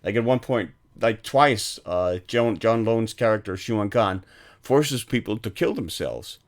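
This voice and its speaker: Low-voiced man retelling film scenes. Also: expressive, a little slow. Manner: rambling, full of ums and restarts, laughs while retelling scenes. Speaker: podcast co-host. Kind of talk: casual film discussion.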